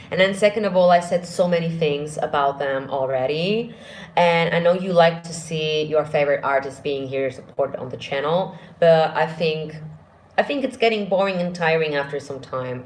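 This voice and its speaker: A woman, a vocal coach hosting a casual live Q&A, chatty and thinking aloud as she goes. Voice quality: deep voice. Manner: chatty, thinks aloud with restarts, laughs often. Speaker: vocal coach and channel host. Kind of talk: casual live-stream Q&A answering chat.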